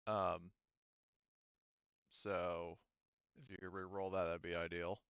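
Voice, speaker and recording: A man with a fairly low, clean voice runs a tabletop roleplaying game. He explains rules and scenes casually and haltingly. The sound is close and a little dull, with no room echo. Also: little pitch movement, a bit bright, clean tone. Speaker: game master.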